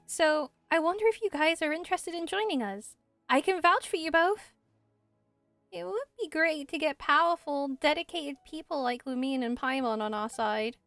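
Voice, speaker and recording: A woman with a high voice performs fantasy game dialogue as an amateur voice actor. She is on a close, dry microphone. Faint music plays behind her. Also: no room sound. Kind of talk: character dubbing of game dialogue.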